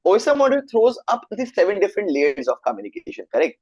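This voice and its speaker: A young man with an Indian accent, whose first language is Hindi, teaches an online networking class. His voice sits a little high, and he often stops to check that his students follow. The sound is dry and slightly muffled, like a call mic. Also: big pitch swings, quick pace, a little mellow, breathy edge, dry recording. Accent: Indian English. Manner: explanatory, asks students frequent check questions. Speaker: networking instructor. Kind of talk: live online class lecture.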